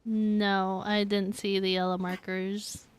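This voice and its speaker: A woman's voice: medium pitch, level tone, takes it slowly, smooth, no room sound. A woman streaming a video game with casual, giggly, self-deprecating commentary. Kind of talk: casual live gameplay commentary.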